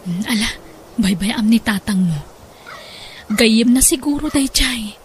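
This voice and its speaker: Ilocano radio drama wife, soft-hearted and plaintive, high voice, noisy background. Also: female voice, expressive, measured pace. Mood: sad, soothing. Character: long-suffering, gentle wife and mother.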